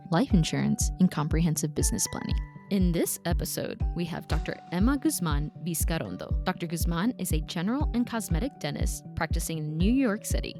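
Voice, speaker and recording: A woman's voice: fairly low pitch, dark tone. Upbeat woman podcast host. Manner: upbeat, reads a formal introduction. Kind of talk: scripted intro then casual interview.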